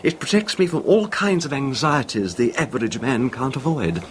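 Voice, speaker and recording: A middle-aged gentleman recluse played by a male radio actor, wistful and nervous, with formal, hesitant phrasing. His pitch moves expressively over a faintly noisy vintage radio recording. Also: animated, normal speed, close to an everyday voice. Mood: detached, smug. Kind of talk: 1970s radio horror drama acting.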